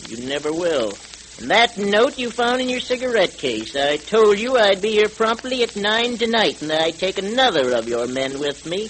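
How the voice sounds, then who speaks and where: An actor playing a menacing, righteous hooded vigilante in an old radio adventure serial, speaking in deliberate, threatening declamation. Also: male voice, sits high. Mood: menacing, gloating. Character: menacing hooded masked avenger, grimly righteous male vigilante. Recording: crackly old radio recording, steady hiss.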